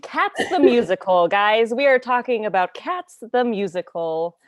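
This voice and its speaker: A woman's voice: mid-range voice, expressive, a little slow, no room sound. A woman co-hosting a writing podcast in casual chat, talking loosely with many "like"s and laughing along. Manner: chatty, lots of "like" fillers, laughs along. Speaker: podcast co-host.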